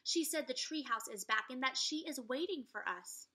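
A woman voicing an excited young girl in a children's storybook read-aloud. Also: high-pitched, normal speed. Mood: eager.

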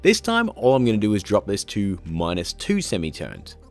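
A man's voice: lowish voice, huge pitch swings, normal speed, soft background music. A man in his thirties hosting a step-by-step video tutorial in an upbeat, chatty, informal way, talking straight to viewers. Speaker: host, video tutorial presenter.

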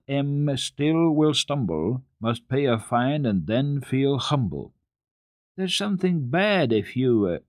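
A man voicing an earnest, kindly little girl who coaxes and recites in a measured sing-song for a storybook. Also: medium pitch, darkish tone, no echo, clean studio sound, voice pushed up. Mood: distinct, warning.

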